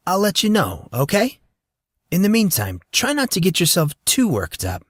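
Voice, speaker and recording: A man's voice: high-pitched, huge pitch swings, normal speed, no room sound, studio quality, pitched above the performer's own voice, heavily acted. A man voicing a skeptical fourteen-year-old boy in an audiobook, blunt, questioning and easily exasperated. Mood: reassuring.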